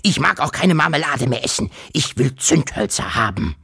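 A man's voice: medium pitch, lively intonation, normal speed, creaky, pushed and bright, breathy edge, pitched above the performer's own voice, heavily acted. A man voicing a grumpy, whiny, demanding uncle creature in a children's story, complaining and self-important. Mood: sulky. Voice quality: grunting.

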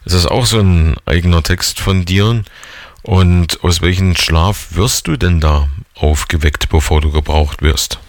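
A man in his forties hosts a radio interview in a conversational, probing way. His voice sits very low with a gravelly fry. The sound is dry and close. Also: a little slow, no room sound. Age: 40s. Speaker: radio host interviewing a musician.

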